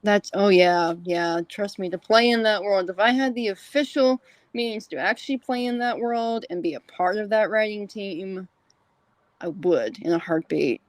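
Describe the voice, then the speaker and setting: A woman's voice: mid-range voice, moderate pace, no echo. A woman hosting a casual interview, speaking in a hesitant, rambling way. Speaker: podcast host, interviewer.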